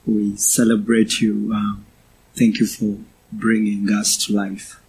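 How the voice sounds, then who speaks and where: A man in his twenties with a Ugandan accent, giving a personal testimony as a guest speaker to a church, in a measured, halting way. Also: on the low side, completely flat delivery, creaky, a little mellow, slight background noise, poor audio. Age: 20s.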